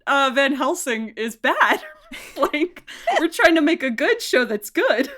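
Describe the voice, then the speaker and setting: A woman producer of an audio drama, chatting casually in an interview, animated and laughing often. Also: slow pace. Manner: animated, laughs often, jumps up high in pitch for emphasis.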